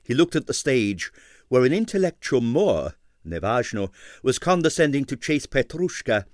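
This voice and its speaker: A man's voice: mid-range voice, very animated, moderate pace, no echo, clean studio sound. A man narrating a comic novel as a scripted, dramatic audiobook reading.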